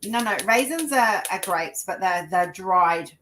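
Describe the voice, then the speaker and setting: A woman's voice: mid-range voice, expressive, a little slow, a bit bright, slight background noise. A woman, chatting casually and joking at her own expense as a live stream host. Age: 40s. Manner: chatty, self-deprecating, laughs easily. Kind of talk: casual chat.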